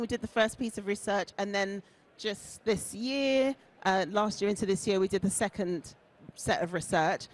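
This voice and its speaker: Woman presenter, booming voice, chatty and self-deprecating, conference stage talk. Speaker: conference speaker. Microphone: stage mic on a loudspeaker.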